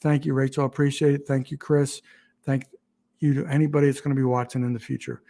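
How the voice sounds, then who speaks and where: A man hosting a casual livestream, rambling and halting with many restarts, his voice getting raspy. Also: medium pitch, little pitch movement, dark tone. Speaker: YouTube channel host.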